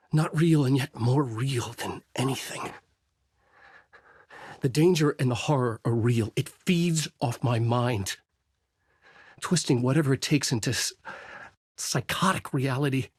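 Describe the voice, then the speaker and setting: An actor playing a haunted, desperate horror writer, narrating in a brooding, intense noir style for a video game. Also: male voice, mid-range voice, animated, slow, pauses often, breathy edge, no room sound, clean studio sound. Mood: desperate, strained. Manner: brooding, intense, terse delivery. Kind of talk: dramatic first-person noir narration.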